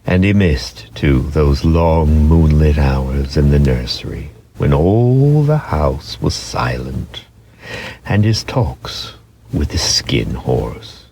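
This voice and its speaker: Deep-voiced man reading a storybook expressively. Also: expressive, slow, creaky, dark tone, a little hiss or hum. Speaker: narrator. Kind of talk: dramatic storybook narration.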